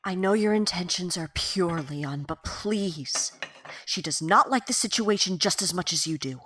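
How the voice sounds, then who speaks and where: A woman playing a worried, gentle middle-aged Victorian mother, formal and pleading, in a period audio drama. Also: fairly low pitch, lively intonation, normal speed, a little hiss or hum.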